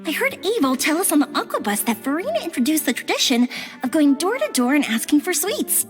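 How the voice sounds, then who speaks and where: A woman's voice: high voice, very animated, brisk. A woman playing a friendly, enthusiastic, perky young woman in a video game. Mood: curious, perky. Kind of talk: scripted video game dialogue. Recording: music, long reverb.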